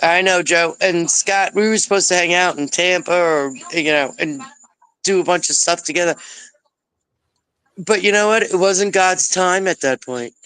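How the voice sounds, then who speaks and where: A man's voice: high-pitched, moderate pace, pushed and bright, smooth, dry recording, rough recording. A man calling in to a chat show, earnest and effusive, speaking about spiritual connection. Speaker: caller. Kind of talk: casual call-in chat.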